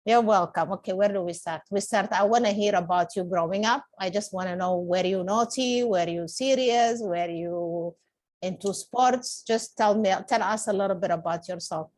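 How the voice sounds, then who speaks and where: A woman's voice: medium pitch, normal speed, darkish tone. A woman hosting a podcast, introducing her guest and prompting him with friendly questions. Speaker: podcast host. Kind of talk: casual interview.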